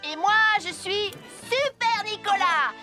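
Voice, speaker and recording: An eager small boy who fancies himself a cartoon superhero. The voice is extremely high and has music behind it. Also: expressive, measured pace, sharp tone, heavily acted. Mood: heroic. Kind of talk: children's cartoon dialogue.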